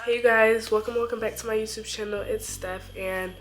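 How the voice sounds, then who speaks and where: A woman's voice: a little high, fairly even intonation, moderate pace, faint music behind. Congested young woman chatting casually. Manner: chatty, loose, heavy on "like". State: congested from a cold. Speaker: vlogger. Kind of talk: casual chat.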